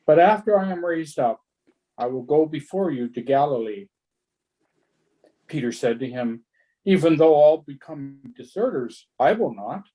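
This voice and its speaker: A man's voice: a little high, animated, a little slow, warm tone, husky, rough recording. A man reading a scripture narrative aloud from a text, as a church member would for a service.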